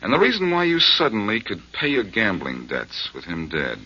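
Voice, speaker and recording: Low husky wisecracking detective guy, swings his voice all over, bright edge, muffled old radio sound. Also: wildly swooping pitch, full character voice. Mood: cool. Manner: dry, mocking, unhurried. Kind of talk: 1940s radio acting.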